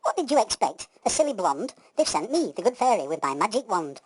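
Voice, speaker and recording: A woman's voice: medium pitch, sing-song, a little fast, slightly rough. A woman voicing a sassy, helpful good fairy in a pantomime, speaking in theatrical rhyme. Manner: theatrical rhyming delivery.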